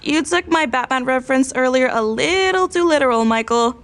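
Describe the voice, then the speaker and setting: A woman's voice: high-pitched, brisk, fairly bright tone. A woman playing a spirited, defiant teenage daughter in a naturalistic audio drama. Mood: nervous, teasing.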